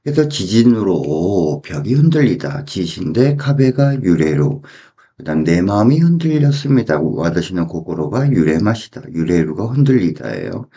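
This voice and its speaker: Guy explaining phrases bit by bit, mellow and slow, repeats stuff. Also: low voice, breathy edge. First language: Korean. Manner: deliberate, repeating phrases and translating them piece by piece. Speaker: Japanese language teacher. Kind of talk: explanatory language lesson.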